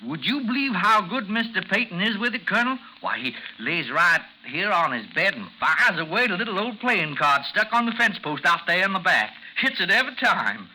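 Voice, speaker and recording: A deferential Southern old soldier turned landlord in a vintage radio play. The voice is highish and very bright, bobbing up and down through timid, folksy, halting phrases over faint noise. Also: male voice, big pitch swings, full character voice. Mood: folksy, chatty. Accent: American South. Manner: stammering, hesitant, folksy. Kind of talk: 1950s radio drama acting. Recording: noisy 1950s radio broadcast recording.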